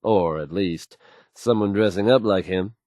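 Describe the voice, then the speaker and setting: An actor playing a confident, smooth-talking radio DJ in a post-apocalyptic video game, announcing songs with folksy wry asides. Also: male voice, low voice, measured pace, a little mellow, no echo, studio quality. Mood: wry, upbeat.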